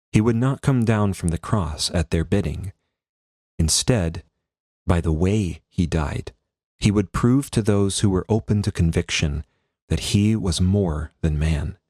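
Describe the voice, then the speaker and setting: A man reading a religious text aloud as a priest, in a solemn, measured and formal narrating style. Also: sits low, darkish tone, no room sound, studio quality. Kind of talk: solemn scripted devotional narration.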